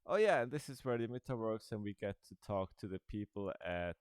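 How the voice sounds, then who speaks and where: A man's voice: fairly low pitch, expressive, fairly quick, smooth, dry recording. A man giving casual, rambling commentary over a game he is playing, with dry, sarcastic asides. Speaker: gaming video creator.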